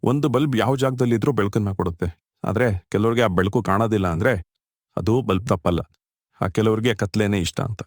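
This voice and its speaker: A man's voice: on the low side, brisk, dry recording, clean studio sound, close to an everyday voice. A man playing a kindly, grieving older husband, an electrician, speaking in an earnest, fatherly way in a cartoon story. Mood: kindly, encouraging. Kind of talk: dramatic dialogue in a cartoon short story.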